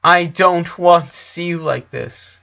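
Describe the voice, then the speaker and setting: A man voicing a tearful, dying eleven-year-old boy in a storybook reading, halting and pleading, on a dull recording. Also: fairly high pitch, vocal fry, sharp tone, pitched above the performer's own voice, heavily acted.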